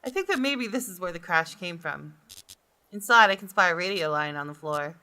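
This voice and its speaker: A woman gamer reading a visual novel aloud as expressive first-person story narration, with music behind her. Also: lowish voice, moderate pace. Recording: guitar game music behind the voice. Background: game music with guitar.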